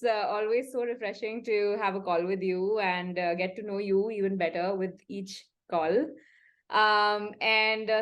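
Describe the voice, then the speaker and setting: A woman hosts an interview over a video call. She speaks effusively but hesitantly, filling her pauses with ums and uhs. Her voice sits at a middle pitch. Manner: effusive, hesitant with many fillers. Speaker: interview host. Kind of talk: warm friendly interview opening.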